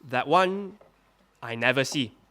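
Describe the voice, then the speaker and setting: An actor playing a male witness at a wartime trial, speaking in a halting testimony that climbs into agitated outbursts. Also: medium pitch, expressive, sharp tone. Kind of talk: audio drama acting.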